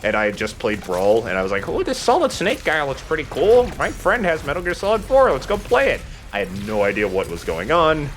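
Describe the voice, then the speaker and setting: A man's voice: fairly high pitch, huge pitch swings, fairly quick, hardly any pauses, a bit bright, faint music behind. A man giving casual, joking, slangy commentary as a let's play co-host. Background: video game ambience.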